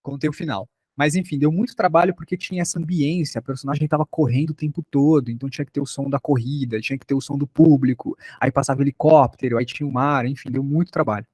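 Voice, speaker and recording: A man's voice: mid-range voice, fairly even intonation, fast talker, hardly any pauses, darkish tone, husky, no echo. A man with a Brazilian accent giving an informal online podcast workshop lecture in an explanatory, conversational way. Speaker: teacher, podcast maker.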